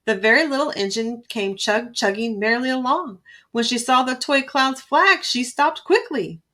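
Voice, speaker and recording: A woman reading a children's story aloud with expressive, emphatic narration. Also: on the high side, moderate pace.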